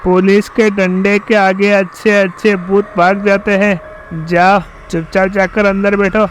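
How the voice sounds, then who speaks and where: A man playing a mocking, commanding police inspector in an animated horror story. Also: sits high, a little slow, a little hiss or hum, low-quality recording.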